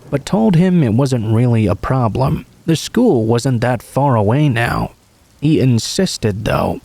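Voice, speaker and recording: A man narrates scripted first-person horror stories in a measured, storytelling delivery. His voice sits at a middling pitch, and the recording is dry, with hardly any room sound around it. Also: dark tone, no echo.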